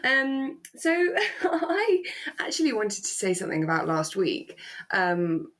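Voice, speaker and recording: A woman's voice: mid-range voice, big pitch swings, slow pace, fairly bright tone. A British woman chatting casually as a podcast co-host, with an informal, careful way of wording things. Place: remote call from home.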